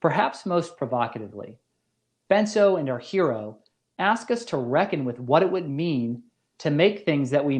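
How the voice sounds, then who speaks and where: A man delivering a scripted academic lecture as a professor, in a measured, deliberate and formal manner. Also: fairly high pitch, a little mellow.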